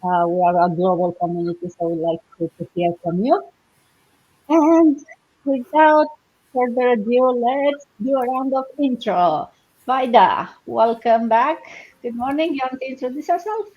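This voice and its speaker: A woman's voice: a little high, expressive, unhurried. Woman hosting a muffled video call. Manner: chatty with fillers and restarts. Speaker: host of a live online session.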